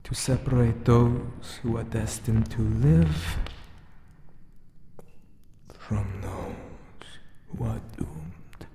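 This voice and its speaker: A man voicing a solemn, ominous Anubis tomb guardian monster in a breathy, formal ASMR roleplay. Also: medium pitch, lively intonation, extremely slow, pauses often, dark tone, reverberant, slight background noise. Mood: grave, menacing. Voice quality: breathy, whispery.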